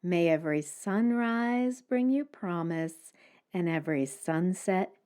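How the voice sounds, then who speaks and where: A woman's voice: on the low side, animated, slow, dark tone. A woman hosting a solo podcast, explaining things step by step in plain-spoken language. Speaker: podcast host.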